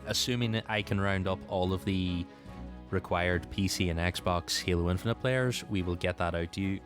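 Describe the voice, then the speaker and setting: A man's voice: low-pitched, normal speed, over music. A man hosting a podcast in a casual, conversational style. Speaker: podcast host.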